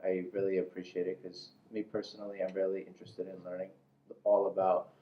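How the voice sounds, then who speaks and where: A man's voice: deep voice, completely flat delivery, brisk, recorded from a distance. A man interviewing a guest in a casual, rambling way full of filler phrases. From United States. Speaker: interviewer, host. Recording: echoey room, muffled, faint noise.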